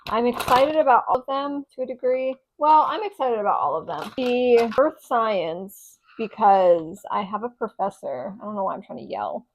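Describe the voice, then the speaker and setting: A woman's voice: a little high, lively intonation, normal speed, few pauses, low-quality recording. Chatty young woman with a slight lisp. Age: young adult. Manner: chatty, rambling, restarts often. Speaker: vlogger. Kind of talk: casual vlog chat. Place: home, indoors. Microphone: lapel mic on chest.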